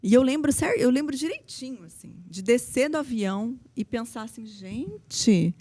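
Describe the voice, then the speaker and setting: A woman from Paraná in her thirties, a federal public defender and guest on an interview podcast, telling her story in a lively, animated way. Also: on the high side, moderate pace, no room sound. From Paraná, Brazil. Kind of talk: casual interview chat.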